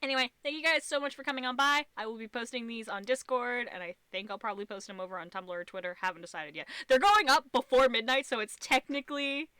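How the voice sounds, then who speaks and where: A woman's voice: a little high, fast talker, few pauses, pushed and bright, clear, resonant tone, dry recording. A woman with an American accent hosting a casual, goofy livestream in a playful, theatrical way. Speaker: streamer, host.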